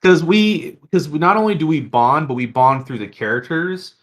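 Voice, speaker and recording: A man's voice: a little high, expressive, moderate pace. Earnest man chatting on a podcast. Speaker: podcast co-host. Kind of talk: casual podcast discussion.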